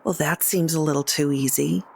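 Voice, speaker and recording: A woman's voice: low-pitched, fairly even intonation, normal speed, gravelly, darkish tone, slight background noise. A woman playing a tabletop roleplaying game, talking casually about what her character will do.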